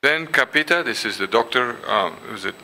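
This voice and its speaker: An older man whose first language is Dutch gives a symposium lecture, pausing often with ums and restarts and reading passages aloud. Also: mid-range voice, expressive, bright, forceful tone, poor audio. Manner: hesitant, many ums and restarts. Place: lecture hall.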